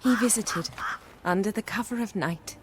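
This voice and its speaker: A woman playing a wealthy aging countess in a video game, wistful and genteel, with formal, measured speech. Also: mid-range voice, music in the background, lightly acted. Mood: wistful, confessional. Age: older.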